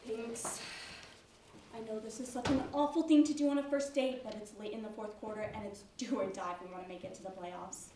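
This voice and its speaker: An actress plays a frank young woman on a first date in a stage comedy. Her high voice echoes through a theater, picked up from a distance over clear noise. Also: fairly even intonation, fairly quick, dark tone, lightly acted. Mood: apologetic. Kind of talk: amateur one-act comedy stage acting. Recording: distant mic, echoey noisy school theater.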